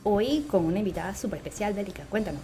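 A Venezuelan woman psychiatrist co-hosting a podcast, speaking in a warm, measured way. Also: mid-range voice, lively intonation, faint music behind. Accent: Venezuelan Spanish.

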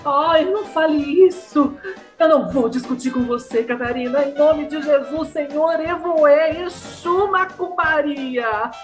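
A high, slow-speaking pious mother acted by a woman from a comic radio soap. She is bossy and dramatic, stretching out her exclamations over light background music. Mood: exasperated, threatening.

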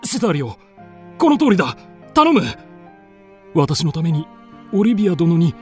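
Lordly duke with swooping delivery. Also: male voice, a little high, sing-song, moderate pace, soft background music. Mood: imploring. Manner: lordly, theatrical, formal. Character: lovestruck, dignified duke, earnest and imploring with his page. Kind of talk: radio drama acting.

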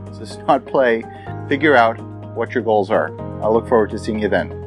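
A man's voice: lowish voice, moderate pace, soft background music. A man hosting a podcast, speaking in an upbeat, motivational way straight to his listeners. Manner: upbeat, motivational, direct address. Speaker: podcast host. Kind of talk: podcast monologue and interview.

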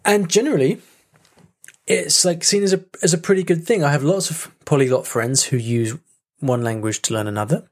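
A man's voice: on the high side, moderate pace. A man hosting a solo podcast, talking casually with many fillers, restarts and small laughs. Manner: chatty, self-interrupting with fillers and laughs. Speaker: podcast host.

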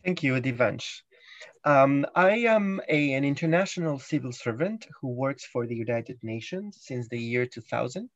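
A man's voice: mid-range voice, lively intonation, normal speed, no echo, lo-fi sound. A middle-aged man giving a guest interview on a podcast, explaining carefully with frequent ums and restarts. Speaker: guest, UN guided tours chief.

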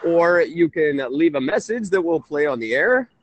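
A man hosting a conversational call-in radio show, halting and often interrupting himself. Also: on the high side, expressive, slight background noise. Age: 30s.

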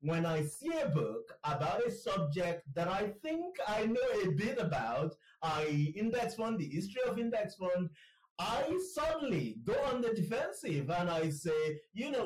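A man's voice: high voice, big pitch swings, normal speed, roomy sound, distant mic, a little hiss or hum, poor audio. A man hosting a podcast interview, enthusiastic and chatty, often repeating words and laughing. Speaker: podcast host. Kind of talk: casual interview chat.

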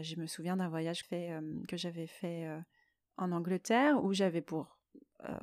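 Female podcast host, mid-pitched, personal explanatory monologue, hesitant phrasing, very dry sound. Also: a little mellow, no room sound.

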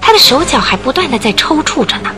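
A woman narrating a Chinese radio drama, telling the story in a measured way with theatrical emphasis. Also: high voice, big pitch swings. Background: music.

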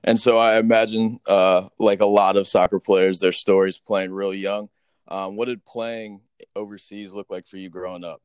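A man's voice: lowish voice, flat delivery, normal speed, clean tone, no room sound. A man hosting an interview show, asking questions in a casual, conversational style.

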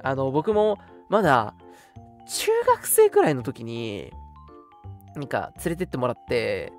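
A young adult man hosting a live web radio show, chatting in an animated, colloquial way. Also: medium pitch, a little slow. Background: background music.

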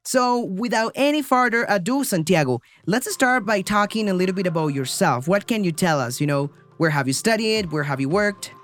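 A man with a rather high voice hosts the show as an English teacher. He sounds upbeat and keen as he welcomes and questions his guest. Faint music sits in the background. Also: expressive, moderate pace, few pauses, a bit bright. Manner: upbeat and enthusiastic. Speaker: podcast host and English teacher. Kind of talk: hosting an interview.

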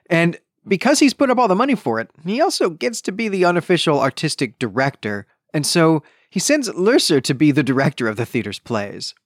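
Animated male podcast host, dry close sound. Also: medium pitch, no room sound. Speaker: podcast host reviewing a novel. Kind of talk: conversational book discussion, storytelling recap.

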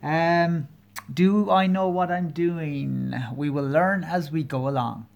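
A man of forty with a Kerry Irish accent, hosting his own podcast alone and talking in an earnest, halting way with many pauses and fillers. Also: a little high, fairly even intonation, gravelly, highs cut off. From Ireland. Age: 40s. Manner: candid, openly personal. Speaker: podcast host. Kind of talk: solo podcast monologue.

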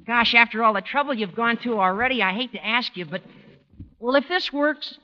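A man playing an eager, gushing, lovesick teenage schoolboy with a squeaky voice in an old radio sitcom. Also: normal speed. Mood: bashful, gushing. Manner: gushing, adolescent. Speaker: actor in radio comedy. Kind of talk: 1940s-50s radio sitcom acting. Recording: dull old radio recording, faint audience.

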